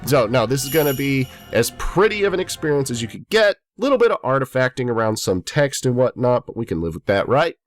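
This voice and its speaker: A young man in his twenties with a stuffy, congested voice rambles through let's-play commentary. His pitch bounces around animatedly as he chats. Faint game music sits underneath. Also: very animated, fairly quick, hardly any pauses. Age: 20s. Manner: rambling, chatty, self-deprecating. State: stuffy from a head cold. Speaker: gaming YouTuber.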